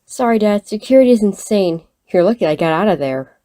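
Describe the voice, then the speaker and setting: Eager young woman agent, soft-toned and daring, played by an actress, for audio fiction. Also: medium pitch, expressive, a little fast, natural voice.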